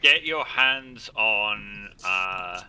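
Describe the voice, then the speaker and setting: A man narrating and making rulings as game master, improvising in a halting way. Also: fairly low pitch, lively intonation, pushed and bright, a little hiss or hum, rough recording.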